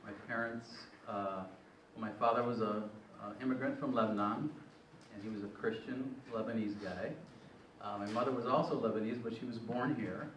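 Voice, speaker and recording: A man's voice: fairly low pitch, normal speed, a bit bright. A man in his sixties shares his life story at a panel, rambling and often correcting himself. He sounds distant from the mic in a roomy, echoing hall. A faint noise sits underneath. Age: 60s. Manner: rambling, self-correcting, hesitant. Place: event hall. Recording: echoey room, far from mic.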